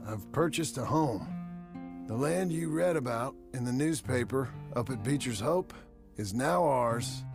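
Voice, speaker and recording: A man playing a gruff, earnest cowboy turned rancher in a western video game, with a gravelly voice and rural American accent. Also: mid-range voice. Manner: plain-spoken, halting.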